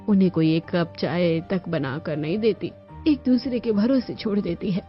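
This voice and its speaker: A woman's voice: on the low side, moderate pace, close to an everyday voice. A woman voicing a determined middle-aged Indian mother in a cartoon story. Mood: firm, insistent. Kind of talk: Hindi cartoon story dialogue. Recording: dull lo-fi audio with music.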